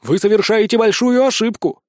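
Hapless fantasy soldier, voiced by a male narrator, earnest appeals, high-pitched, dry recording. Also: a little fast, no echo, lightly acted. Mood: pleading, urgent. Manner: earnest, pleading.

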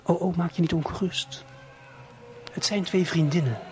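A man's voice: fairly high pitch, moderate pace, faint music behind. A man narrating as a guide in an audio play, talking casually and intimately to the listener in a coaxing, conversational way.

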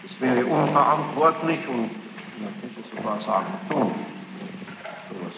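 A man examining a witness in court, speaking formally and haltingly, pressing insistently and repeating answers sceptically. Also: mid-range voice, lively intonation, gravelly. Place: large reverberant courtroom. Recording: noisy, echoing archival courtroom tape.

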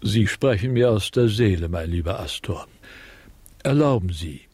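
A man's voice: lowish voice, animated, moderate pace, darkish tone, husky, dry recording. A male actor playing a fussy, ceremoniously polite tycoon in a German radio play. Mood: polite, regretful. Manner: mannered, formal politeness.

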